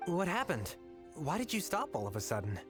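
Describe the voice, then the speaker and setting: Someone doing an eager young hunter of legendary weapons, earnest, very swingy pitch, game music behind. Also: huge pitch swings, brisk.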